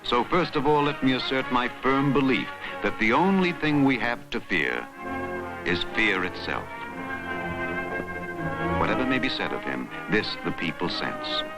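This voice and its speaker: A man narrating a historical documentary in a solemn, dramatic, measured newsreel style. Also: mid-range voice, animated. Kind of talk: scripted historical documentary narration, mid-century newsreel manner. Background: music.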